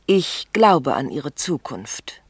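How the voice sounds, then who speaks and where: An actress in a German radio play voicing a haughty, calculating upper-class lady with an imperious, measured manner. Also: deep voice, very animated, slightly rough, dry recording, exaggerated voice. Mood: cool, seductive.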